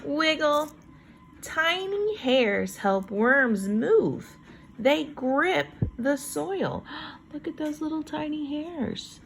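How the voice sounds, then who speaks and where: A woman teacher reading a children's book aloud, reading deliberately and adding chatty, wondering asides about it. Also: high-pitched, sing-song, a little hiss or hum.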